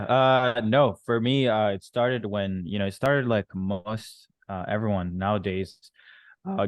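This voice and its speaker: A man's voice: fairly low pitch, no echo. A man from Rwanda who moved to Canada as a teen, telling his own story casually as an interview guest and often rephrasing as he goes. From born in Rwanda, moved to Canada at thirteen.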